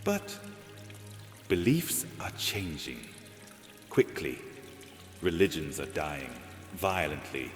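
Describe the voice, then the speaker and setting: Man as a wary vampire with wildly swinging pitch. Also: very animated, takes it slowly, pauses often, full character voice. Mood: ominous. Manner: cautious, portentous, dramatic. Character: suspicious, anxious, scholarly male vampire adviser. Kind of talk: immersive fantasy audio drama roleplay. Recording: echoey long reverb, music bed, water sounds.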